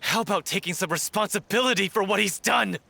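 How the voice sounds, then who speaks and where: A man's voice: high-pitched, a little fast, creaky, bright, forceful tone, exaggerated voice. An actor in a video game playing a grieving, hot-tempered man who speaks in a bitter, accusing way. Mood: bitter grief, rage. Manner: confrontational, accusing.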